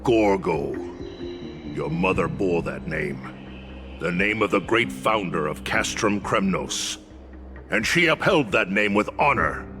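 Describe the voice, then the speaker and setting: An actor playing a stern old warrior retainer in a video game, proud and scornful, with a gravelly voice and grave, formal delivery. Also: male voice, sits low, animated, over music. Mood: stern, reproachful. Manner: grave, formal, deliberate oratory. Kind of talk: dramatic video game acting.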